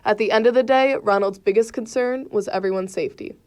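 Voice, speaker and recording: A woman's voice: fairly high pitch, fairly quick, a little mellow. A young woman working as a student TV reporter, reading a scripted news report. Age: young adult.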